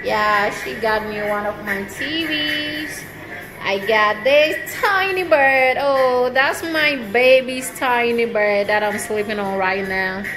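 Slow-talking woman filming her apartment. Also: a little high, noisy background. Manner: slow, relaxed, laughs often between lines. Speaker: vlogger, service member. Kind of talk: casual home tour vlog. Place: small empty apartment, indoors. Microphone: handheld phone camera, speaker off-screen.

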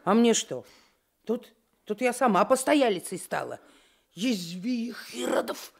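An actress playing a curt, sharp-tongued village landlady with grumbling, colloquial speech in a radio drama. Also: on the high side, lively intonation, measured pace, gravelly, slightly rough, full character voice. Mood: grumbling, irritated. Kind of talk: Soviet radio drama acting.

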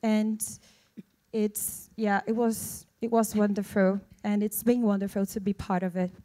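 A woman's voice: medium pitch, flat delivery, unhurried, dark tone. Brazilian woman with a Portuguese accent. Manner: earnest, searching for words with frequent restarts. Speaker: actress at a film launch. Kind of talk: press conference answers. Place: indoor launch event stage. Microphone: handheld microphone.

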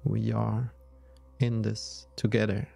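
A man leading a guided yoga nidra meditation in a soothing, measured way with long pauses. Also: fairly low pitch, completely flat delivery, vocal fry, warm tone, faint music behind.

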